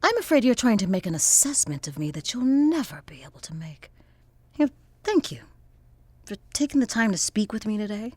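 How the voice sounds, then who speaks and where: An actress playing a proud, defiant young student activist in an audio drama, with an American accent and an articulate, husky delivery. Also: female voice, medium pitch, sing-song, a little fast, darkish tone, no echo. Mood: guarded, defiant. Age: young adult.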